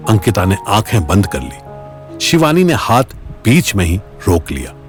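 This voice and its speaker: A man narrates a dramatic audio story. His voice sits fairly low yet moves with lively swings of intonation, and music plays behind it. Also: a little slow.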